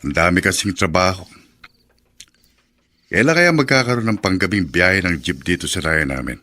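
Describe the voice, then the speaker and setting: A man's voice: low voice, lively intonation, normal speed, bright, forceful tone, slight background noise. A man playing a hardworking, fiercely protective provincial father in a Philippine radio drama. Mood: weary musing. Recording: dramatic music behind.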